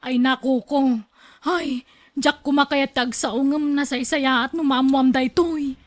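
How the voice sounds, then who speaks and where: A woman's voice: high-pitched, fairly even intonation, measured pace. A woman with an Ilocano accent playing a fretful, tattling female neighbor in a radio drama.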